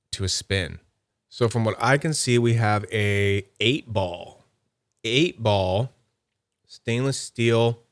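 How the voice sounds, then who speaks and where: A man with a slightly low voice talks casually through an unboxing, thinking aloud as he handles each item. He sounds nerdy and upbeat. The sound is close and dry, with no room echo. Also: smooth. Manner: chatty, thinks aloud while handling items. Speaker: YouTube fidget toy reviewer.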